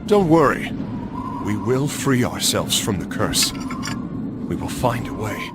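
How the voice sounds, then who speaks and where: A man's voice: on the low side, sing-song, takes it slowly, over loud music. An actor playing an earnest, stubborn young crusader nobleman in a video game, serious and resolute. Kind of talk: video game cutscene acting.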